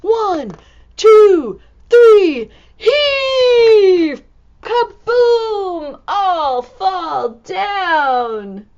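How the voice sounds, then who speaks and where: A woman storyteller reading a picture book to young children in an animated, exclamatory way, full of expressive wonder. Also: very high voice, drawn-out words, muffled recording. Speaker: storytime reader and teacher.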